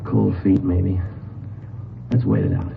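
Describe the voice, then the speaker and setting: A man playing a wary, steady police detective in an old-time radio drama, speaking in clipped lines. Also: sits low, slow pace, vocal fry, heavily acted. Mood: watchful. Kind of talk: 1940s-50s radio acting. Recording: muffled old radio, loud background music.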